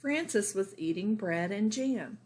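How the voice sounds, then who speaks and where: Slow-paced woman reading a storybook. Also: mid-range voice, lively intonation, darkish tone. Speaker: teacher reading aloud to young children. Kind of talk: storybook read-aloud with casual asides.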